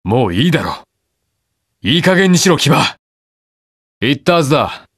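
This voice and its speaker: Gruff masked hero, swinging pitch. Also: male voice, big pitch swings, moderate pace, no echo, studio quality. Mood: defiant, fierce. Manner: curt, brusque, rough casual speech. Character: gruff, reluctant young male masked hero, stubbornly protective. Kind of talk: video game voice lines, battle quips.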